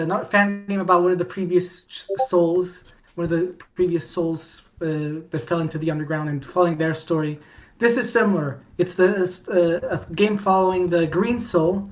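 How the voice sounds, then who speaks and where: Give me a playful, enthusiastic woman guest with a somewhat low voice. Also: poor audio. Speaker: podcast guest, fan game developer. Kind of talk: casual podcast chat.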